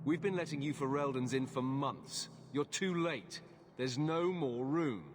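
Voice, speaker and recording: A world-weary captain of the guard acted by a man, stern and curt, refusing to budge. His mid-pitched fantasy game voice rings with long reverb over faint noise. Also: unhurried, lightly acted. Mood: curt, exasperated. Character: stern, exasperated guard captain, jaded and unyielding. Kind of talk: video game voice acting. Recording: long hall echo, faint ambient noise.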